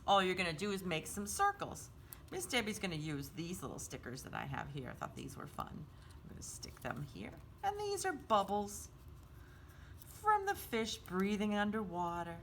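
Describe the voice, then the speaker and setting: A woman's voice: mid-range voice, wildly swooping pitch, moderate pace, a little hiss or hum. A woman hosting a children's storytime craft, explaining each step in simple, sing-song, child-directed language.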